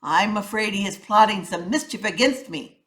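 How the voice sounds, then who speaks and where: A woman voicing a big, wary male python in a storybook, emphatic and drawn-out. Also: medium pitch, expressive, fairly bright tone, close to an everyday voice. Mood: puzzled, suspicious. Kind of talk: animated storybook character reading.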